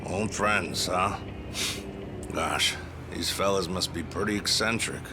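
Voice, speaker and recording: Laid-back, talkative taxi driver in a game; slow delivery, on the low side, folksy. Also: male voice, background noise. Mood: chatty, easygoing. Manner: folksy, rambling. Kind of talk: English video game cutscene acting.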